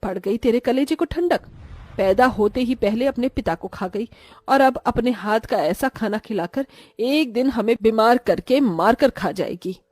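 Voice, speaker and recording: A woman's voice: on the high side, moderate pace, darkish tone, dry recording, faint music behind, close to an everyday voice. A woman voicing a scolding middle-aged mother in a cartoon story, harsh and blaming. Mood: scornful.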